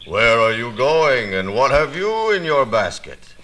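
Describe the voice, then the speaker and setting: A man voicing a sly, polite big wolf in a storybook, soft and smooth. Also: medium pitch, sing-song, a little slow.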